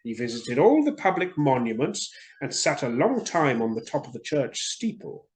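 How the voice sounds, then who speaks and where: A man's voice: medium pitch, very animated, normal speed, a little hiss or hum. A man reading a story expressively for children, in a theatrical and friendly storyteller's manner.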